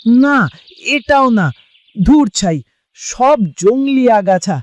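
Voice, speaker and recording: A man's voice: very high-pitched, lively intonation, takes it slowly, full character voice. A man playing a loyal, pleading village man full of self-doubt in a cartoon drama. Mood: frantic, frustrated. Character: Deben, anguished loyal village man searching a forest. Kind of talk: Bengali cartoon drama acting.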